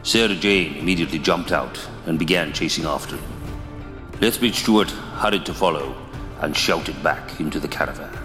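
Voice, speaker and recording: Very deep male narrator, echoey. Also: normal speed. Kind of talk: scripted audio drama narration. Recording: echoey long reverb, music behind.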